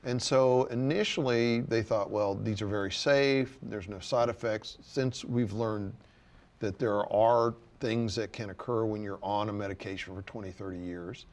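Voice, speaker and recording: A man's voice: fairly low pitch, steady tone, moderate pace, darkish tone. A man, a surgeon, chatting casually and explaining treatment options with an easy, explanatory manner. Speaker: surgeon guest. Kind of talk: casual panel chat.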